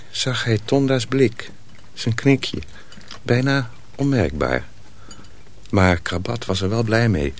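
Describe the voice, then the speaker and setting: A man's voice: mid-range voice, a little hiss or hum. A man narrating a radio drama from a script as a storyteller.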